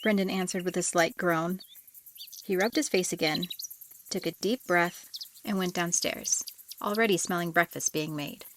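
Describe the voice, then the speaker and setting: A woman's voice: lowish voice, normal speed, a little hiss or hum. A woman reading scripted story narration as the narrator of an audiobook drama.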